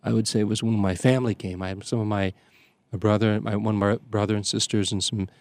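An older man, a priest from the Chicago area, telling stories in casual conversation, halting often with fillers and restarts. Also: lowish voice, flat delivery, no echo. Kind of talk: conversational storytelling.

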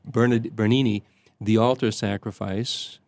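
An older man, a priest from the Chicago area, telling stories in casual conversation, halting often with fillers and restarts. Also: lowish voice, steady tone, gravelly, a little mellow, no echo. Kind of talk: conversational storytelling.

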